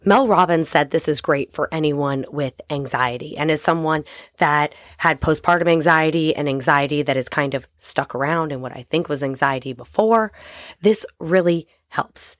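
A woman in her thirties hosting a short motivational podcast, hoarse and raspy from a cold, talking straight to her listeners in an encouraging way. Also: low-pitched, normal speed, no room sound, studio quality. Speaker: podcast host.

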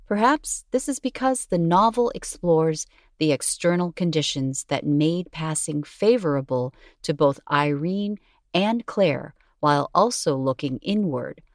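A woman with a low-pitched voice narrates an educational script. Her reading is measured and articulate, and the sound is dry, with very little room in it. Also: no room sound.

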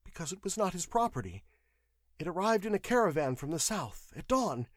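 A man voicing a cowardly, frightened clerk in an audiobook, shrill, pleading and stammering with nerves. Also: sing-song, fairly quick, no room sound, studio quality. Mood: cowed, whimpering. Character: whimpering terrified clerk, a weak cowardly man.

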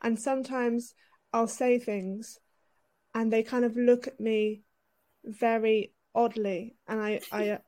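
Soft-spoken British woman guest. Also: a little high, little pitch movement, slow pace. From United Kingdom. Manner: soft-spoken, hesitant with many ums. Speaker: writer and editor, guest. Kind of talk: casual interview chat. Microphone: video call.

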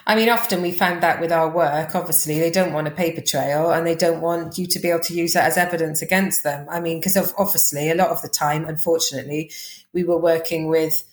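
A woman with a Welsh accent, chatting quickly as a podcast guest, full of fillers and restarts. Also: low voice, steady tone, reverberant. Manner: fast-talking, chatty, lots of fillers. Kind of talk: casual interview chat.

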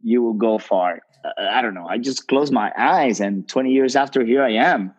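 A man's voice: mid-range voice, normal speed, muffled recording. A man, a brand ambassador on a panel, talking earnestly and filling his sentences with "you know, like". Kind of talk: panel discussion.

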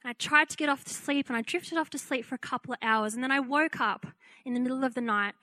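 A woman preacher with a high, bright voice tells her story with lively, animated delivery. She slips into the voices of the people in her story as she goes. The sound is close and dry. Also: fast, no room sound. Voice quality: fairly high-pitched. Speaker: pastor. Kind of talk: testimony sermon.

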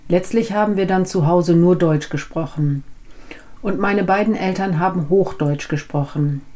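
A woman's voice: lowish voice, normal speed, mellow. A woman whose first language is Dutch, co-hosting a language podcast, asking questions and listening attentively. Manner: clear, attentive interviewer with frequent affirming hums. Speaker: podcast co-host, business German teacher. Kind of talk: conversational podcast chat.

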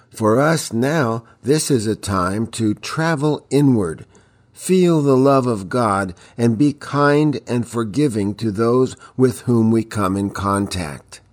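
A man's voice: lowish voice, a little mellow. A man delivering a scripted devotional teaching, exhorting listeners with reflective rhetorical questions.

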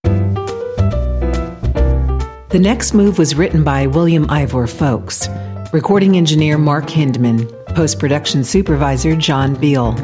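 An American woman announcer reads scripted credits for a radio production. Clear instrumental music plays behind her. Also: low-pitched.

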